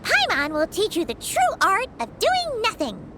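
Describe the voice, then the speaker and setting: A woman voicing a tiny, cheeky floating fairy companion in a video game, childlike and excitable, talking about herself in the third person. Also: normal speed, very noisy, exaggerated voice. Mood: cheerful, teasing. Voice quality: squeaky, childlike. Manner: talks about self in third person, exclamatory.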